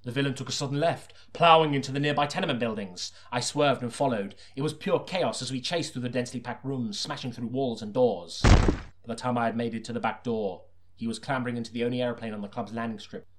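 Hard-boiled detective narrator, acted by a man: medium pitch, earnest, dramatic storytelling for a crime audiobook. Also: a little fast, lightly acted. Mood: urgent, dramatic. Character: deadpan melodramatic hard-boiled detective narrator, secretly a sinister killer.

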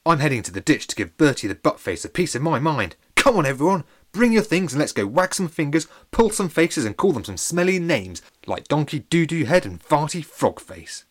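A man's voice: fairly high pitch, big pitch swings, brisk, few pauses, voice pushed up. A man voicing a wise but grumpy village elder in a storybook, bellowing to rouse a crowd. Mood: rousing. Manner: bellowing, rousing.

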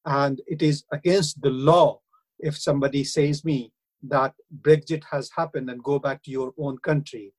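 A middle-aged man with an Indian accent, speaking earnestly and haltingly in a radio interview, with frequent hesitations. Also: medium pitch, fairly even intonation, darkish tone, breathy edge. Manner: earnest, hesitant, many uh fillers. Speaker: councillor and university lecturer.

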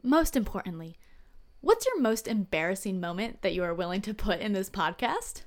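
A woman's voice: mid-range voice, sing-song, moderate pace. A woman in her early twenties, a student co-host giving a scripted podcast introduction. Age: early 20s.

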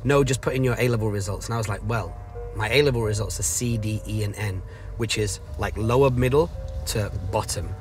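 A man's voice: lowish voice, a little fast, faint music behind. A man in casual conversation, telling stories in emphatic, slangy language and acting out quoted exchanges.

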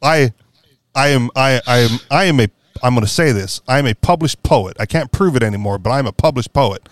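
Man, podcast host, rambling, wry, self-correcting, mid pitch, dry sound. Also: expressive, a little fast, no room sound. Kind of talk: casual political chat.

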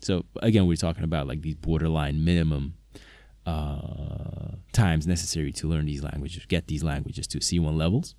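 Deep dark-voiced guy, slovak first language, lots of ums and restarts, dry close sound. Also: steady tone, moderate pace, vocal fry, no echo. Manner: hesitant, many fillers and restarts. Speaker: polyglot video maker, interpreter. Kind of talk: casual talk to camera.

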